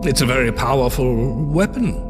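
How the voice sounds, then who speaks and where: A man playing a grave, measured scholar of a secret order in a video game, speaking formally. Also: mid-range voice, expressive, lightly acted. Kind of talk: video game cutscene acting. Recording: hall reverb with music.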